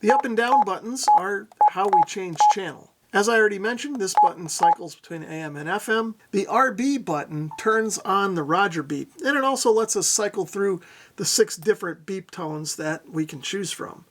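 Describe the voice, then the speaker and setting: A man's voice: high voice, animated, normal speed, noisy background. A middle-aged man narrating a casual hands-on product demo, explaining things methodically and without hurry. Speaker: radio reviewer, presenter.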